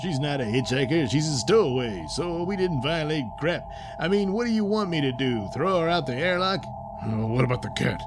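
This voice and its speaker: A man's voice: fairly high pitch, expressive, brisk, music in the background, voice pushed up, lightly acted. A man playing a crude, irritable, wisecracking space trucker captain in a sci-fi audio comedy. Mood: exasperated. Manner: gruff, wisecracking, foul-mouthed.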